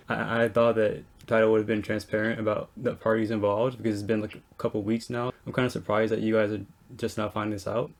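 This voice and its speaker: A man's voice: fairly low pitch, little pitch movement, fairly quick. A man talking informally and in a conciliatory way in a casual business negotiation. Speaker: real estate wholesaler negotiating on calls.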